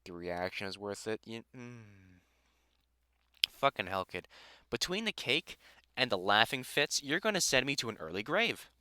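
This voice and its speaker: A man playing a gruff older vampire hunter in a roleplay audio drama, a fond, teasing mentor with dry sarcasm who grows halting when sentimental. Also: mid-range voice, very animated, bright, forceful tone, no echo. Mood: exasperated affection.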